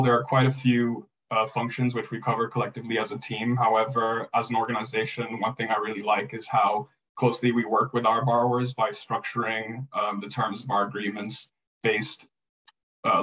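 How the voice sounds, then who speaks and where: Low flat-voiced man, hesitant and distant. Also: dull audio. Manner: hesitant, many fillers. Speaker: panelist, loan management. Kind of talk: prepared talk on a panel.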